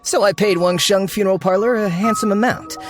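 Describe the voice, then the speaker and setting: A man's voice: sits high, steady tone, brisk, music in the background, natural voice. A man playing a self-assured archaeological researcher in a video game, glib, businesslike and politely insistent. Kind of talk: scripted video game dialogue.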